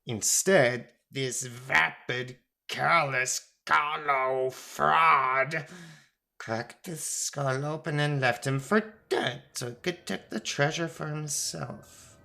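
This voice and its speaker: An ancient god in feather-quill form, as acted by a storyteller, declaiming with lofty indignation in a raised voice. The delivery is grand and deliberate, fit for a fantasy story. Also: mid-range voice, vocal fry, sharp tone, voice pushed up, heavily acted. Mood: indignant.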